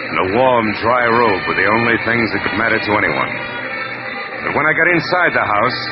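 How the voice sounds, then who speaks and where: An actor playing a hardboiled, world-weary private detective in old American radio noir style, with a wry, clipped delivery. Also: male voice, fairly low pitch, lightly acted. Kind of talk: 1940s-50s American radio noir acting, narration. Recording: dull vintage radio recording, music behind.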